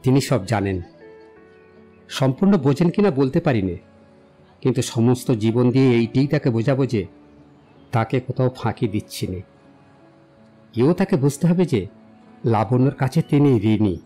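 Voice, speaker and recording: An actor playing a self-assured young romantic in an audio drama, speaking in a poetic, declamatory and philosophizing way. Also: male voice, medium pitch, lively intonation, moderate pace, pauses often, warm tone, lightly acted. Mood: earnest, explaining. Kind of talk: dramatized audio story acting. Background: background music.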